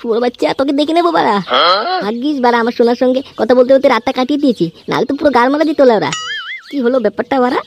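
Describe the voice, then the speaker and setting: A cheeky young cartoon guy, acted by a woman rattles along at breakneck speed in a high, whiny voice. He is crude and excitable, a lovestruck troublemaker. The homemade audio has clear background noise. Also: rapid-fire. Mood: indignant, cursing. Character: cheeky foul-mouthed lovestruck young man, quarrelsome son. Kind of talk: amateur comedy cartoon dubbing.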